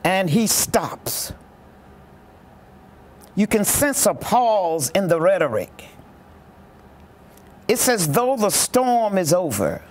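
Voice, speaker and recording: Slow, very animated mid-pitched commentator. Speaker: commentator on a historic speech. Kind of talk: reflective documentary-style commentary.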